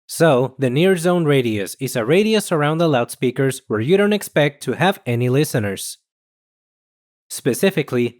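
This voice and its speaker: Man narrating a scripted tutorial. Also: mid-range voice.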